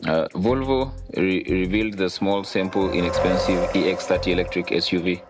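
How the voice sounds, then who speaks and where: A man's voice: deep voice, steady tone, moderate pace, music in the background. A man from Kenya co-hosting a podcast, chatting about news in a rambling way with many restarts. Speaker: podcast co-host. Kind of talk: casual podcast talk and news chat.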